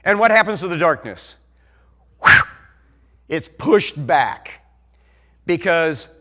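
Ozark-accented male preacher, high lively voice, slow deliberate delivery, sermon with big dynamic swings. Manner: animated, swings from soft to loud emphasis.

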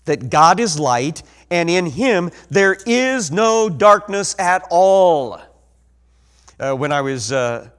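A man preaching a sermon in an Ozark accent, swinging from soft asides to loud emphatic peaks. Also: a little high.

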